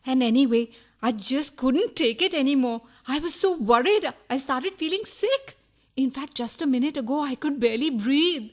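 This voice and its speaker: Actress, needy nagging wife, high-pitched, lively intonation, reproachful, melodramatic radio farce. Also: female voice, normal speed. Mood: wounded, reproachful. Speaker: radio play actor. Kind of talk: farcical radio play acting.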